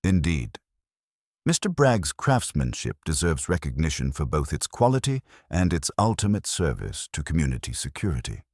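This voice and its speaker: A man voicing a wry, composed police inspector in a mystery audiobook, formal, dry and deliberate. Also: rumbling low, wildly swooping pitch, a little mellow, slightly rough, no echo, exaggerated voice. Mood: wry, satisfied. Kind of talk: audiobook mystery character dialogue.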